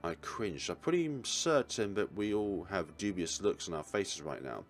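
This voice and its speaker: Male game narrator, lower register, reads story text with small slips, light music underneath. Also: big pitch swings, moderate pace. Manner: stumbles and corrects words while reading. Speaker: let's player. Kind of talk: reading a visual novel aloud.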